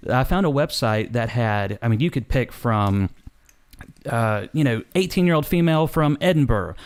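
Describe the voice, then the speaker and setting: Male co-host, casual storytelling, mid-pitched voice. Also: lively intonation, moderate pace, smooth. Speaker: podcast co-host and wrestler. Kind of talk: casual conversation.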